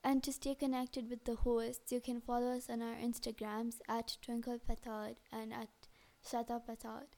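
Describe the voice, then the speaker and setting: A woman's voice: fairly high pitch, flat delivery, moderate pace, creaky, dark tone. A young woman with a mixed, Kenyan-influenced accent co-hosting a casual podcast, playful and teasing, leaning on "literally.". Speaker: podcast co-host. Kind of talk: casual chat.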